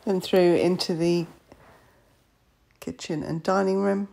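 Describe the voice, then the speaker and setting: A woman's voice: lowish voice, fairly even intonation, takes it slowly, gravelly, mellow. A woman estate agent narrating a walkthrough of a house, listing its features matter-of-factly in short phrases. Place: inside an old house.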